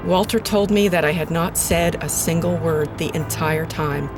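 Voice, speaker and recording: An actress playing an uneasy female radio presenter, narrating an eerie investigation in a measured, deliberate way. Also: low voice, over music, close to an everyday voice. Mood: foreboding, measured. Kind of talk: scripted first-person narration.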